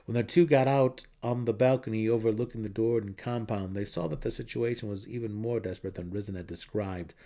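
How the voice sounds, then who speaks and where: A man's voice: fairly low pitch, fairly even intonation, brisk, hardly any pauses, mellow. A man reading a fantasy novel aloud as a dramatic audiobook narrator.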